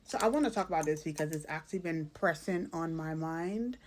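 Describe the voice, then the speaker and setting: A woman's voice: sits low, brisk, a little hiss or hum. A woman with a Caribbean accent giving a casual, emphatic, explanatory opinion talk, checking in with the listener as she goes.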